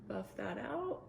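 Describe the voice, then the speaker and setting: Slow-talking woman with gravelly voice. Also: on the high side, lo-fi sound. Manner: relaxed, joking, drawn-out speech. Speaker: makeup vlogger. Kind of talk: casual makeup tutorial chat. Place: indoor room at a makeup table. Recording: echoey room with faint noise.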